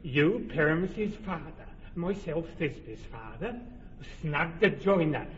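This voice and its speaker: A fussy, earnest carpenter-director. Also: male voice, fairly high pitch, lively intonation, takes it slowly, slight background noise. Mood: directing. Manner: earnest, persuasive, organizing. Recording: dull lo-fi radio recording with hall reverb.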